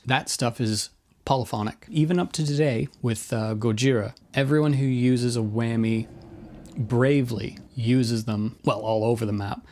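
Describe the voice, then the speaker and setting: A man's voice: medium pitch, darkish tone. A man hosting a guitar gear video, talking through things in a casual, informal explainer style with opinionated, wryly self-deprecating asides. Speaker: host of a guitar effects video.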